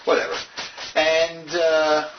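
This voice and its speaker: A man voicing a courteous, businesslike merchant traveller with a halting, formal and inquisitive way of talking. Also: on the high side, completely flat delivery, pitched above the performer's own voice. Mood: curious, probing. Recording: dull, very noisy, echoey distant room mic.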